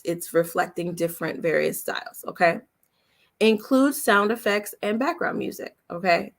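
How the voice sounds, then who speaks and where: A woman giving an informal lesson as a voice-over coach, speaking emphatically and instructively, repeating key points and often checking in with her listeners. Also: mid-range voice. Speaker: voice-over coach and demo producer. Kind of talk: informal teaching talk, coffee chat webinar.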